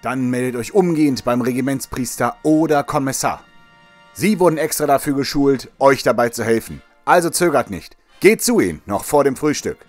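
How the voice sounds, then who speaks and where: A man's voice: medium pitch, expressive, normal speed, soft background music, close to an everyday voice. A man playing a peppy, jovial propaganda host of a military morning radio show, with showy, chummy presenter patter. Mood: rousing, brisk. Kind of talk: radio show moderation in audio drama.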